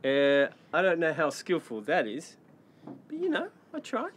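A man's voice: a little high, huge pitch swings, a little slow, slight background noise. A man in his forties chatting casually in a jokey, emphatic way, often repeating himself for effect. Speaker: guest sailor on a boat vlog. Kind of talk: casual chat. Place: on a catamaran at anchor, cabin and open deck.

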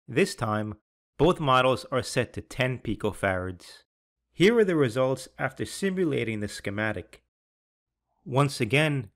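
A man, a sales and applications engineer, giving a measured scripted voice-over that explains technical slides and software. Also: lowish voice. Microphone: voice-over over slides and software screens.

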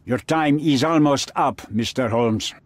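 Man playing a husky, menacing crime boss. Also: medium pitch, no echo, lightly acted. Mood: irritated, dismissive. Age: middle-aged. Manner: formal, deliberate, imperious. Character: menacing, haughty middle-aged male crime boss. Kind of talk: dramatic video game acting.

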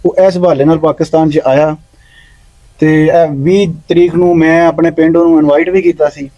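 A man speaks earnestly about his sport, dropping little "alright" checks between points. His voice sits a bit high for a man yet sounds mellow and dark. The outdoor sound is dry, with no room echo. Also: little pitch movement, moderate pace. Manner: earnest, peppers speech with "theek hai". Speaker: stone-lifting champion and coach. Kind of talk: interview answers. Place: outdoor lawn at night.

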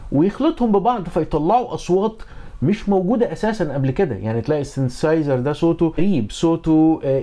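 Fast-talking middle-aged Egyptian man, higher voice. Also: warm tone. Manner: explanatory, conversational with rhetorical questions. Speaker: music and audio engineering instructor. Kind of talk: informal tutorial explanation. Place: small home music studio, indoors.

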